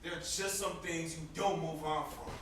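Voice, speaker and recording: A man acts out a bitter young brother in an amateur stage drama, with a high voice and biting sarcasm. He sounds distant in a heavily reverberant room, with faint noise behind him. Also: steady tone, moderate pace, close to an everyday voice. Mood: sarcastic. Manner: sarcastic, confrontational. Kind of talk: amateur stage play acting. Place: indoor theatre stage. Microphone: distant camera microphone.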